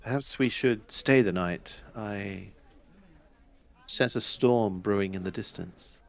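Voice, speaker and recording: Man playing a polite, slow-spoken samurai-era traveller. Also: fairly low pitch, gravelly. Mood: polite, measured. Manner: formal, tentative.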